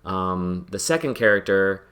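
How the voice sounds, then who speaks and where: A man with a slightly low voice chats loosely as he plays a game on stream. He rambles and pokes fun at himself, full of ums and false starts. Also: lively intonation, unhurried, fairly bright tone. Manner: rambling and self-deprecating, with many fillers and restarts. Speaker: podcast host playing a video game. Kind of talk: casual chat while gaming.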